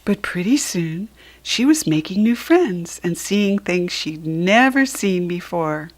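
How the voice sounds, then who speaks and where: An American woman reading a children's picture book aloud in an expressive, deliberate teaching manner, with asides to the listener. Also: on the low side. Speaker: storybook reader.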